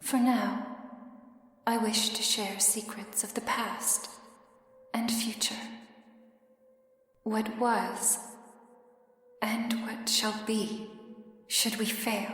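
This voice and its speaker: A solemn arcane mentor, performed by a woman speaks in hushed, formal tones as in a fantasy audio roleplay. The delivery is slow and grave, drenched in long, heavy reverb, with music underneath. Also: medium pitch, flat delivery. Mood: grave, urgent. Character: solemn, otherworldly arcane mentor addressing a mortal. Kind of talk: ASMR fantasy roleplay, storytelling monologue.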